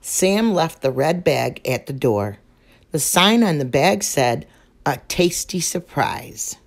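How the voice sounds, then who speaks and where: A woman reading a children's picture book aloud in a slow, gentle and expressive way. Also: low-pitched, vocal fry. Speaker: storyteller.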